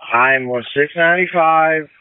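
A man's voice: on the high side, a little slow, clean tone, no room sound. A man on a fire crew giving a procedural report over emergency radio. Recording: dry narrow radio transmission.

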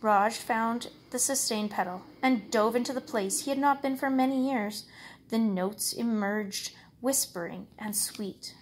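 A woman reading a picture book aloud as a storyteller, with expressive, measured, dramatic phrasing. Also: a little high, a little hiss or hum. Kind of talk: expressive read-aloud narration.

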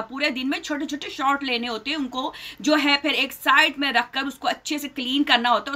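A middle-aged woman vlogger delivers an indignant, scolding commentary straight to her webcam. Her voice sits high and sounds bright and sharp. She hammers her points home by repeating phrases. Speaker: reaction vlogger. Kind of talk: heated commentary to camera.

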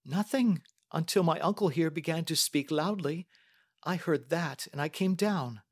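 Man putting on an anxious, high-voiced young lady. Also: measured pace, breathy edge. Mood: cooperative, earnest. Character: earnest, anxious young Victorian lady, pleading and devoted. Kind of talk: audiobook character acting.

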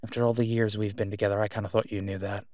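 A man playing a devoted, reassuring boyfriend in an intimate roleplay audio drama, speaking softly and haltingly in a conversational way. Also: on the low side, level tone, dry recording, studio quality, natural voice. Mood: tender, reassuring.

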